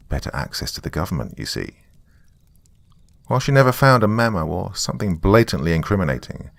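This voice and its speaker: A man's voice: low voice, gravelly, dry recording. A man narrating a scripted first-person horror story in a wry, conversational storytelling manner.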